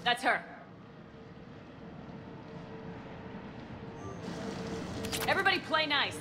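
Tough lady space commander from a game, short dry orders, slightly high voice, music behind. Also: big pitch swings, very fast. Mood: wry, steady. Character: tough, composed female military commander. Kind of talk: video game voice acting.

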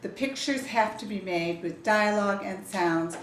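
A woman's voice: mid-range voice, normal speed. An older British woman hosting an event, introducing speakers live in a formal parlour. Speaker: event host. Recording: echoey room, distant mic, background hiss.

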